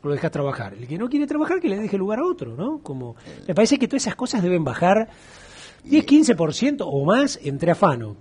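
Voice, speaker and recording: Man, Argentine accent, middle-aged, husky, raised pitch, animated, TV studio, lapel mic. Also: a little fast. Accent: Argentine Spanish. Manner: animated, gestures a lot, acts out quoted voices. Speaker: interviewer. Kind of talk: casual interview chat.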